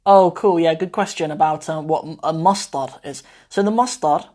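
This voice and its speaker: A man, an Arabic teacher chatting casually through a live Q&A, meandering and often trailing off softly. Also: a little high, normal speed. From Cornwall, England. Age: 20s. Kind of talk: casual livestream chat. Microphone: phone mic.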